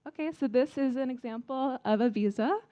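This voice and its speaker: A woman's voice: sits high, fairly quick, mellow. A young woman with an American accent gives a friendly, informal presentation to students as an embassy official. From China. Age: young adult. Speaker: embassy vice consul presenting. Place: event talk before an audience.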